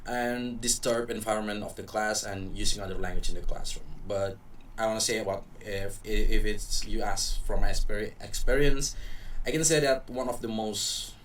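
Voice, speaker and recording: Young Indonesian man, very deep, halting English, distant mic with light hiss. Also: fairly even intonation, a bit bright. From Papua, Indonesia. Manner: hesitant, searching for words. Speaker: student podcast guest. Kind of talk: casual chat. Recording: distant mic with faint noise.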